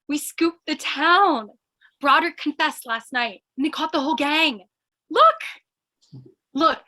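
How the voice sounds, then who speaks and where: A woman playing an excitable, melodramatic young newspaper reporter in old-time radio style, with urgent, breathless exclamations. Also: high-pitched, heavily acted. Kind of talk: old-time radio comedy thriller acting.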